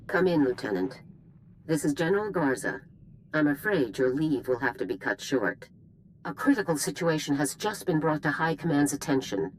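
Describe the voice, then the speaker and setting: Casting: stern female general, low voice, clipped commanding orders, game cutscene with background noise. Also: big pitch swings, moderate pace, rough recording. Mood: stern, businesslike. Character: stern older female military general, authoritative and brisk. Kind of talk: scripted video game acting.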